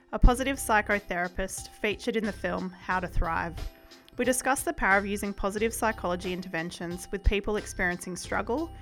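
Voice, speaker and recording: A woman's voice: mid-range voice, fairly bright tone. A woman hosting a podcast, introducing the episode and interviewing her guest in an upbeat, welcoming way. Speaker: podcast host.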